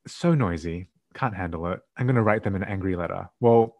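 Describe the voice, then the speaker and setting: Playful, camp low-voiced man podcaster. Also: a little mellow, dry recording. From Australia. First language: Mandarin. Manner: camp, playful, quick to laugh, mock-dramatic. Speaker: podcast co-host. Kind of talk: casual podcast chat.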